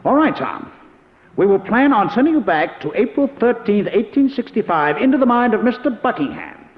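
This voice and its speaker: A determined male professor lays things out in a measured, commanding way. The voice sits a little high with animated inflection, on a dulled vintage radio recording. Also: big-room sound, phone-quality sound, slight background noise. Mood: decisive. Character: authoritative older professor, man, methodical and determined. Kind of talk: old radio drama acting.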